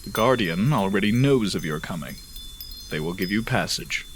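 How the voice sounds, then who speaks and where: A solemn leader with a fairly deep voice, played by a man in a fantasy radio drama. He speaks with grave, measured resolve. A slight noise sits in the recording. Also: expressive. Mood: resolute. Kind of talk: fantasy audio drama acting.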